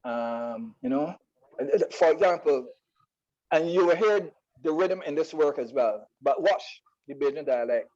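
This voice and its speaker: Man, Barbadian accent, slightly high voice, halting explanations, repeated words, conversational guest poet, dull recording. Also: expressive, darkish tone.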